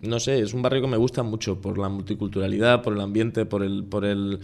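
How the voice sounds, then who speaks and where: A man's voice: lowish voice, fairly quick, vocal fry. A young man from La Mancha, chatting casually as a musician guest in a radio interview, rambling with many restarts. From Spain, La Mancha. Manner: relaxed, rambling with frequent restarts and fillers.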